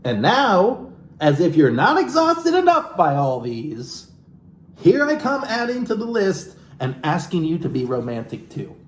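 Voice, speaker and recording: A man's voice: a little high, huge pitch swings, unhurried. A man reading a self-help book aloud in a theatrical, playful way, breaking off into wry, joking asides of his own. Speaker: comedic reader and commentator. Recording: echoey room with background hiss.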